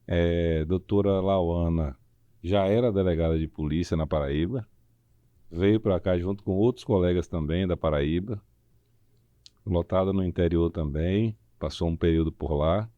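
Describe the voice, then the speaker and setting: A middle-aged man, chatting as an interview co-host in halting, hesitant phrases. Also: low voice, dry recording, muffled recording. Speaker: podcast co-host. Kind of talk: unscripted interview chat.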